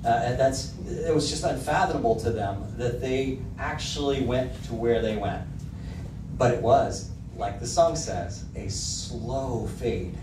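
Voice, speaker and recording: A man's voice: mid-range voice, measured pace, background noise. A middle-aged man preaching a sermon into a handheld mic, reading song lyrics aloud with emphasis. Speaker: pastor. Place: church hall with projector screen. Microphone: handheld mic, filmed from the back of the room. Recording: echoey hall, mic heard from a distance.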